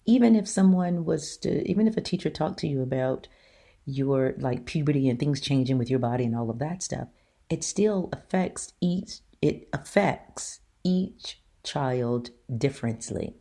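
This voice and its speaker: A middle-aged woman with a deep voice hosting her own talk show, speaking earnestly and emphatically to her listeners. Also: measured pace, gravelly, dark tone. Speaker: show host. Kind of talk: solo opinion talk.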